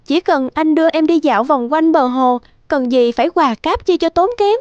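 A woman's voice: sits high, moderate pace, a little mellow, dry recording, muffled recording, close to an everyday voice. A woman voicing a sweet young girlfriend in a cartoon romance. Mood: delighted. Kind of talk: animated drama voice acting.

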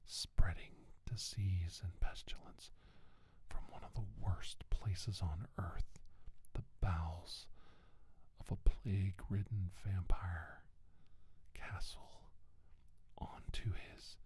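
A middle-aged man playing a solemn, haunted doctor who survived an abduction, in a slow, halting, whispered ASMR monologue. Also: sits low, fairly even intonation, darkish tone. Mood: haunted, intense.

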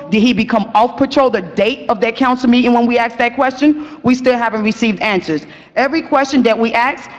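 A young woman speaking at a podium in a council chamber, pressing urgent, repeated questions. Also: fairly high pitch. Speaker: victim's sister at council meeting. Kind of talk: public comment speech. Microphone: podium mic. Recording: dull meeting audio with long hall reverb.

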